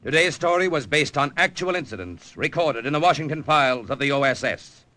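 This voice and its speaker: A male announcer from old-time radio with a bright, forceful voice. He declaims dramatically, with big swings in pitch. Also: animated, a little fast, no room sound.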